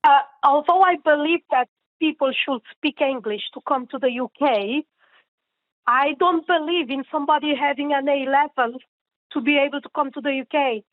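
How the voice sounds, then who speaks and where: High-voiced woman on phone. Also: moderate pace, dry recording. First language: Portuguese. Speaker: caller. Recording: tinny phone line.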